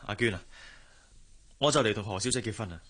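Young gentleman employer, lowish pitch, Hong Kong Cantonese, brotherly, gentle, radio drama. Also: fast talker, breathy edge. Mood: brotherly, casual. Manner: gentle, patronising.

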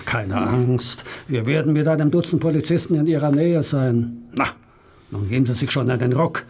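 An actor playing a confident, teasing police inspector in a radio play, with a husky voice and a persuasive manner. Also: male voice, medium pitch, normal speed, darkish tone. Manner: assured, persuasive.

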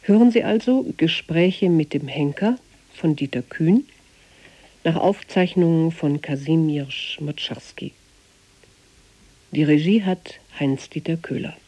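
Dark, mellow radio drama announcer. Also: medium pitch, slow. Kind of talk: scripted radio drama introduction.

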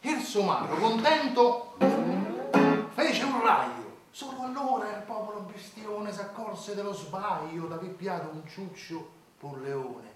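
A man's voice: high voice, lively intonation, slow pace, music in the background. A man with a Roman accent telling a verse fable in a theatrical storytelling style. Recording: echoey hall, distant microphone.

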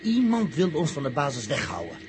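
A man's voice: on the high side, huge pitch swings, fairly quick. A man playing an anxious, suspicious traveller who grumbles and repeats himself, in 1960s radio drama style. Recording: noisy old radio drama tape.

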